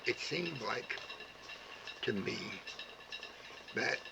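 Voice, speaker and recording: An older man with a Cajun accent, talking slowly with many pauses and restarts as he describes his heritage. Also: mid-range voice, animated. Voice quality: gravelly, plain-spoken. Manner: halting, with many "uh" pauses and repeated words. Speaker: storyteller sharing heritage. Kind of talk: slow, rambling talk to camera. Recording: dull lo-fi recording with background hiss.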